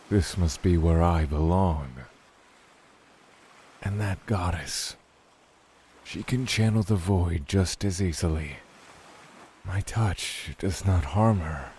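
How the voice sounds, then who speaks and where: An actor playing a brooding lord of a dark void realm in an audio drama, speaking in a measured, solemn way. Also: male voice, sits low. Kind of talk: audio drama first-person narration and dialogue. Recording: faint noise under rain and thunder. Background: rain and thunder ambience.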